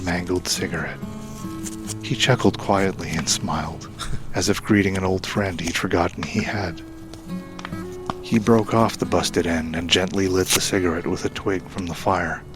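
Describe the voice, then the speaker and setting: A man narrating a dramatic scripted story in a measured, solemn way, with phrases sometimes falling hushed and breathy. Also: low voice. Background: background music.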